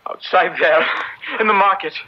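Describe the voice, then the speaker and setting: A man's voice: high-pitched, moderate pace, lightly acted. A man playing an earnest, urgent young colonial minister in a radio drama. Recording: dull, band-limited old radio recording.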